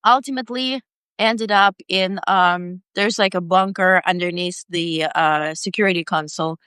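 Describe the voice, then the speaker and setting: A middle-aged woman from Ukraine, an independent journalist interviewed on a video call, talking in a halting way with many fillers. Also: on the low side, steady tone, fairly bright tone, smooth, no echo. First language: Ukrainian or Russian. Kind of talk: interview conversation.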